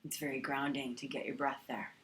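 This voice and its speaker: A woman teaching a guided meditation in a calm, soothing manner, leaving long pauses between phrases. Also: extremely low pitch, rough recording.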